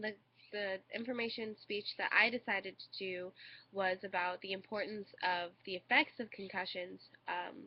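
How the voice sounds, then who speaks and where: A young woman with a congested cold, giving a hesitant student speech into a webcam with many fillers. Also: mid-range voice. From United States. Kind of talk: informal recorded speech. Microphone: webcam microphone. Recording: dull lo-fi webcam audio.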